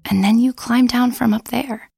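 A woman's voice: fairly high pitch, fairly even intonation, moderate pace, creaky, dark tone, faint music behind, pitched above the performer's own voice. A woman voicing a terrified young woman haunted by a faceless ghost, talking in a trembling, breathless, pleading way. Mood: frightened.

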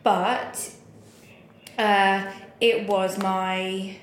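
A woman's voice: mid-range voice, flat delivery, very slow. A woman in her thirties talking candidly and informally as an interview guest, telling personal stories with plenty of swearing. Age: 30s. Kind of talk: candid conversational storytelling. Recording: echoey room, faint background noise.

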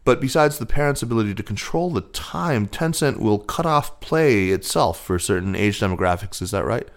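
A man hosting a business news podcast, speaking in a measured, articulate way as he presents and interviews. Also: on the low side, expressive. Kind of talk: scripted news reading and interviewing.

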